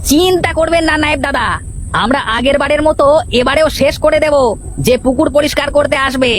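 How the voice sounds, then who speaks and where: A woman's voice: sits high, steady tone, brisk, slight background noise, lightly acted. A woman voicing a servile, cowardly village henchman in a cartoon, with music underneath. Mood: eager, servile. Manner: servile, whining. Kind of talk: cartoon character dialogue.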